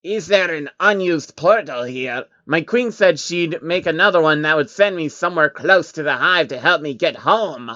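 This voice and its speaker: A man's voice: on the high side, moderate pace, hardly any pauses, bright, forceful tone, no room sound, muffled recording. A man voicing a small, laid-back insect-like changeling with a casual, cheeky manner in a storybook reading. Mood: nonchalant. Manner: casual, matter-of-fact. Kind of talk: storybook character voice in amateur fan fiction reading.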